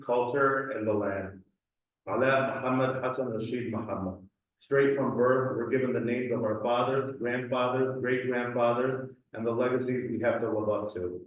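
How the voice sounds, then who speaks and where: Earnest man in an echoey hall. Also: medium pitch. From Cleveland, USA. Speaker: community speaker. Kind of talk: reading a personal essay aloud. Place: community event hall. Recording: echoey hall, distant and dull mic.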